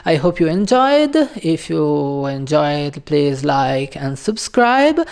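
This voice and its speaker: A woman with an Italian accent, a native Italian speaker hosting her own channel and talking casually in English about a book she read. She speaks hesitantly, with many pauses and fillers. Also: sits low, big pitch swings, a little mellow, clean tone. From Italy. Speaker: channel host. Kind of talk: casual video talk on a book.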